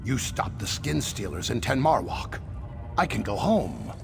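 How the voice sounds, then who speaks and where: An actor voicing a furtive, nervous lizard-folk relic hunter who looks out for himself, in a fantasy video game. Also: male voice, medium pitch, big pitch swings, unhurried, gravelly. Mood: relieved, wheedling. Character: shifty, self-preserving male lizard-folk relic hunter with a tail. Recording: music behind the voice. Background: game music.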